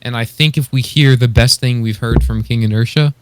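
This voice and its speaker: A man's voice: mid-range voice, fairly even intonation, fairly quick, noisy background. A man co-hosting a podcast, chatting casually in a tentative, hedging way. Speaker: podcast co-host. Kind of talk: casual chat about beatbox competitors.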